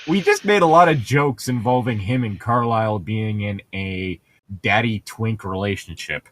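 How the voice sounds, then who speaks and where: A man's voice: on the low side, normal speed, fairly bright tone. A man hosting a podcast, reading a novel aloud in a casual group chat, with a sarcastic, irreverent, crude sense of humour.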